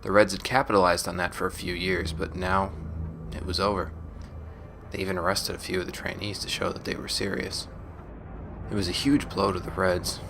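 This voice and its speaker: Low, subdued, world-weary audio diarist played by a man. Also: level tone, normal speed, creaky, noisy background. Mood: somber, resigned. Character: world-weary former gang member and recovering drug addict, Vanessa. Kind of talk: scripted confessional audio log, sci-fi fan drama. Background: music.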